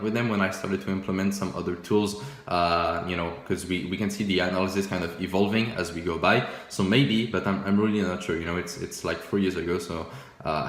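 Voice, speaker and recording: A young man with a low voice whose first language is French talks casually over his screen, looking back at old work. He is easygoing and self-deprecating, full of "you know" and "uh". The sound carries a noticeable room echo. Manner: relaxed, self-mocking, many fillers and restarts, chuckles often. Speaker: forex trading YouTuber. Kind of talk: casual screen-share commentary.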